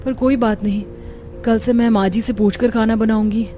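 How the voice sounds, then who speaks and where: A woman's voice: fairly high pitch, steady tone, normal speed, dark tone, faint music behind, natural voice. A woman voicing a young bride in a rich household in a cartoon, showing off and self-pitying. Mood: self-pitying, smug.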